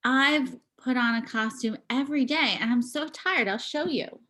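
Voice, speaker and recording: Woman with a fairly high voice, sign language voice interpreter, halting and restarting, video call. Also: bright, forceful tone. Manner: halting, with pauses and restarts as she follows the signing. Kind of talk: live voicing for a signing performer.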